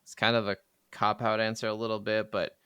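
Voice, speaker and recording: A man with a clear, smooth voice, slightly low in the middle range, talks casually as a podcast co-host. He rambles emphatically and swears freely, and the sound is close and dry. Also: completely flat delivery, brisk, fairly bright tone, no echo. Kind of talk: casual chat about video games.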